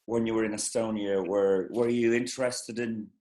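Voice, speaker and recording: A man's voice: fairly low pitch, fairly even intonation, moderate pace, dark tone, roomy sound. A man hosting a comedy interview show, asking questions in a stumbling way with many fillers and restarts. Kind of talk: casual interview.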